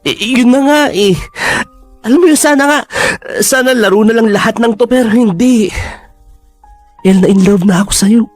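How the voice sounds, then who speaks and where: An actor with a Filipino accent playing a hesitant young man confessing love in radio drama style, halting and pleading. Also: extremely high pitch, no echo, soft background music. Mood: pleading, emotional.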